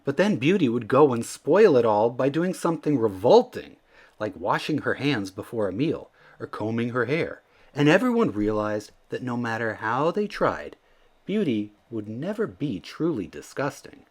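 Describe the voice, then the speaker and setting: A man narrating a children's storybook aloud in an expressive, theatrical way, leaning into the emphatic words. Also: mid-range voice, moderate pace. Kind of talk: animated read-aloud narration.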